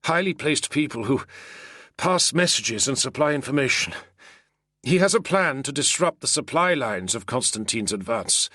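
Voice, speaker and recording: A man's voice: expressive, moderate pace, bright, forceful tone, dry recording, clean studio sound. A man doing a frightened, pleading Roman senator. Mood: nervous explaining. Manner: pleading, breathless, high-strung. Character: frightened scheming older male Roman senator. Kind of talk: audiobook character voice.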